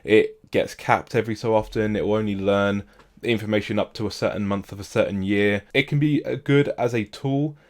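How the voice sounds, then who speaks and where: A man with a croaky voice, rambling casually to camera about learning languages, with lots of fillers and restarts. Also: on the low side, steady tone, brisk, a little mellow. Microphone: camera mic.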